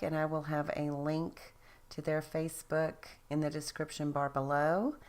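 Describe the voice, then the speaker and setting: Tired, raspy-voiced woman narrating crafts. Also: sits low. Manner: chatty and self-deprecating, with small laughs. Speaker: craft video host. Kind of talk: casual voice-over narration of a crafting process.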